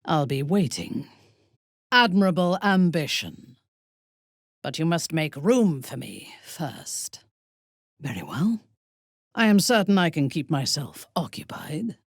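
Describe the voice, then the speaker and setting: A woman playing a proud, ruthless, seductive aristocratic villain in a video game, speaking in an imperious, deliberate, softly menacing way with a husky, breathy voice. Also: low-pitched, sing-song, no room sound, clean studio sound, exaggerated voice. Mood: cold, imperious. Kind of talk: dramatic video game voice acting.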